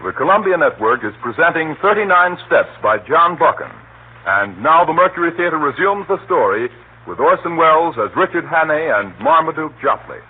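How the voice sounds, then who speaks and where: A man's voice: fairly high pitch, lively intonation, moderate pace. A man announcing formally as a 1930s radio network presenter. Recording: tinny, rough 1930s radio broadcast.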